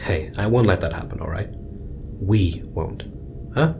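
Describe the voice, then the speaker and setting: A man playing a stressed astronaut commander in a sci-fi audio drama, steadying the crew in measured, hesitant speech. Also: creaky. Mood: strained, reassuring. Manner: measured, hesitant, reassuring with clipped orders. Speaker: mission commander giving orders. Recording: noisy, rumbling background.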